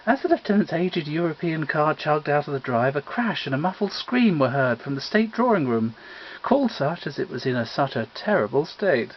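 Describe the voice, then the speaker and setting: A man's voice: fairly high pitch, very animated, moderate pace, a little hiss or hum. A man reading a comic mystery story aloud as scripted narration. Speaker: story reader. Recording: dull lo-fi home recording.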